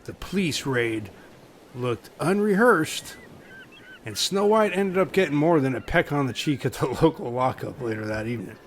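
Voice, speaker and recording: Laddish, easily offended island castaway played by a man, lively intonation, casual, faint noise, comedy radio play. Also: mid-range voice, moderate pace, natural voice. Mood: amused. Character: touchy, laddish male castaway teased for his outfit.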